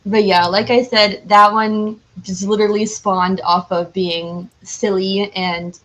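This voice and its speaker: A woman's voice: mid-range voice, flat delivery, takes it slowly, a bit bright, lo-fi sound. Enthusiastic woman chatting casually. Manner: enthusiastic, informal. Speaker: podcast guest, cosplayer. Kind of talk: casual chat.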